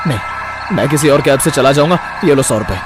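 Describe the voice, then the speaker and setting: A man plays a wary office-worker passenger in a horror cartoon. He sounds uneasy and irritated, with loud music running behind his lines. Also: mid-range voice, fairly quick, lightly acted. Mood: alarmed, firm. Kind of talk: Hindi animated horror story dialogue.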